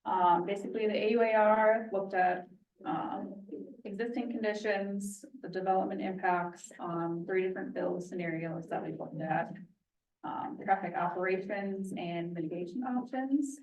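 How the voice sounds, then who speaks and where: A woman engineer presenting a traffic study at a public meeting, with a quiet voice and a soft, explaining manner full of ums. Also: mid-range voice, moderate pace, distant mic, highs cut off. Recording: roomy hall, from off the mic.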